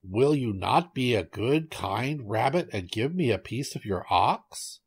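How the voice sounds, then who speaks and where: A man voicing a big savage tiger in a storybook, greedy yet easily frightened, pleading and flattering in drawn-out phrases. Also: medium pitch, breathy edge. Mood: wheedling, hungry. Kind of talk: storybook character voice.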